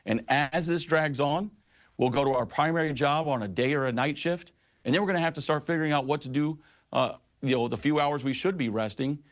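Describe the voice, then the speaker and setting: A man's voice: on the high side, a little fast. A middle-aged man speaking as a union president in a news interview, making his case with firm, emphatic conviction. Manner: impassioned, emphatic advocacy.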